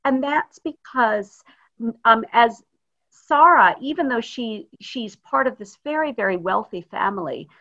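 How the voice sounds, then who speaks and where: A woman's voice: a little high, animated, unhurried, bright, forceful tone, muffled recording. A woman rabbi giving a thoughtful, informal teaching talk over a video call. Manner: thoughtful, searching for words. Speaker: rabbi, guest speaker.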